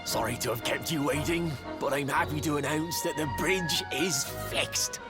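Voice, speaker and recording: A man's voice: on the high side, normal speed, lightly acted. A man playing a hearty, polite woodcutter in a video game, speaking in a courteous, earnest and obliging way. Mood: grateful, cheerful. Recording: loud game music behind.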